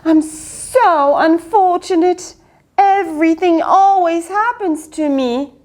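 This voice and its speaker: Brief: a woman playing a storybook dog who moans that everything happens to him, drawn out and high. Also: slow pace, darkish tone. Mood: self-pitying. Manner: wailing, sorry for himself. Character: whiny self-pitying male dog.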